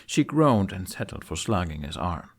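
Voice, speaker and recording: A man reading fiction aloud as an expressive story narrator. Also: low-pitched, normal speed.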